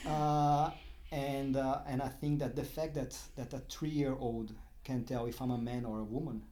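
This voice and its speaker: Middle-aged Brazilian-accented man, mid-pitched voice, interview guest who stops and restarts often. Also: fairly even intonation, mellow. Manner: halting, many restarts and fillers. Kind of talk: casual interview talk.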